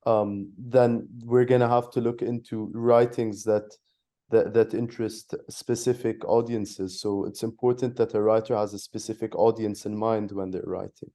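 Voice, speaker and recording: A nineteen-year-old man giving a measured, articulate view in a student podcast discussion. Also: on the low side, level tone, mellow. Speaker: student panelist.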